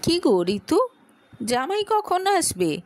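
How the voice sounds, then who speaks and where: A woman's voice: very high voice, big pitch swings, slow, a little mellow, voice pushed up, full character voice. A woman voicing a scheming young married sister-in-law who sweet-talks with feigned concern in an audio story. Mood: sly, probing.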